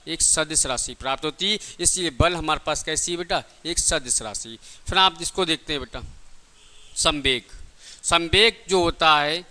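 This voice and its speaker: A man's voice: on the high side, pushed and bright, clear, resonant tone. A man teaching a physics lesson for exam students, didactic and repetitive, asking questions and answering them himself. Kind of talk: classroom exam-prep lesson with rhetorical questions.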